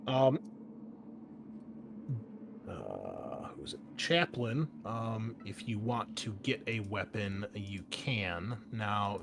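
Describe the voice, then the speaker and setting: A man's voice: on the low side, background noise. A man explaining tabletop game rules casually as game master, thinking aloud as he goes. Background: background music.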